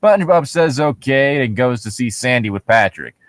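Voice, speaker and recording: A man's voice: medium pitch, expressive, normal speed, dry recording. A man co-hosting casual, joking commentary on stories he reads aloud, in an irreverent, sweary way. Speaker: co-host.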